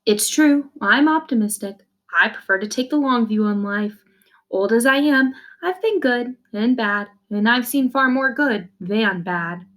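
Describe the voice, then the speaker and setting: A woman's voice: a little high, lively intonation, unhurried, fairly bright tone. A woman reading a children's novel aloud like a teacher, expressively, in the first person, with simple explanations for young listeners. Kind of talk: read-aloud storytelling with brief explanations.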